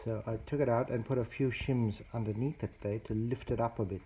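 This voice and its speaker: A man narrating a casual hands-on repair demonstration as a hobbyist, explaining things in a matter-of-fact, unhurried way. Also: mid-range voice, fairly even intonation, warm tone. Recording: dull lo-fi phone-video sound, faint noise.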